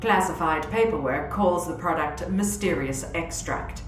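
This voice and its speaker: A woman playing a grave military commander in a sci-fi audio drama, measured, deliberate and authoritative. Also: low-pitched. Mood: controlled, briefing. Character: grave female military commander sharing secret doubts. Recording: roomy echo under prominent music.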